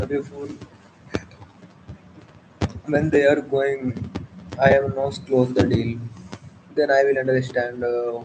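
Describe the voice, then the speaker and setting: Indian man, Hindi native, halting English full of fillers, slow and flat, noisy recording. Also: mid-range voice, vocal fry. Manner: halting, many pauses and fillers, restarts. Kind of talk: casual spoken monologue.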